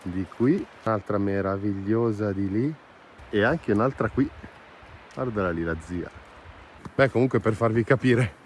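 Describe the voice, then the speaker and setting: Casting: enthusiastic male vlog host, friendly casual chat, recorded outdoors with faint ambient noise. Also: medium pitch. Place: mostly outdoors in mountain valley and woods.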